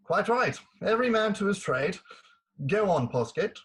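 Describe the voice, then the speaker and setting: A man acts a battered, gallant colonel from a stage farce. His voice sits high for a man and has a rough husk. He talks in a bluff, gentlemanly, old-fashioned way. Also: sing-song, moderate pace, heavily acted. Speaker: actor.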